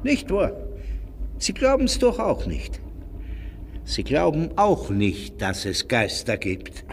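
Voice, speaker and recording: Radio drama: superstitious Austrian villager, unhurried delivery with wildly swinging pitch, brooding and uneasy. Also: male voice, wildly swooping pitch, vocal fry, slight background noise, full character voice. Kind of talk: German-language radio drama acting.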